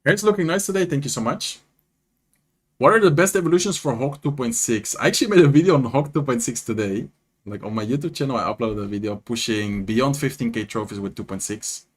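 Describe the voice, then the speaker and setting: A man's voice: mid-range voice, a little fast. A young man with a Dutch accent, chatting casually on a live stream, reading and answering viewers while commenting on matches. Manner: chatty, reads and answers chat, animated. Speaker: streamer and content creator.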